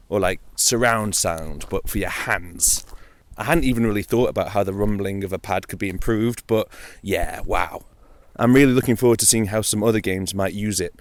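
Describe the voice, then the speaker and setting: A man with a fairly low-pitched voice hosts a casual audio log about video games. He talks informally, as if to a friend, and drops in playful, wry asides. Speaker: host of a gaming audio log.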